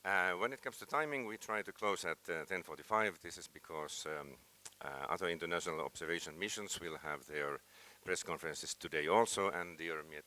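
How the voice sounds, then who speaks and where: Low-voiced Finnish man, bright tone. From Finland. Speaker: press officer opening a press conference. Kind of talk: formal press conference introduction.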